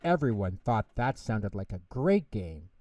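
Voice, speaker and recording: A man's voice: on the low side, big pitch swings, a little slow, warm tone, no echo, recorded from a distance. A man narrating a scripted children's bedtime story as the storyteller.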